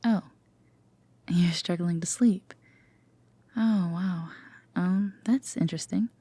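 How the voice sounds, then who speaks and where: A woman playing a gentle, teasing, affectionate girlfriend in an intimate sleep-aid roleplay, with a soft, breathy half-whisper and a tender manner. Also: on the low side, slow, dry recording. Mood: caring, hesitant. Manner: hesitant, tender, gently playful.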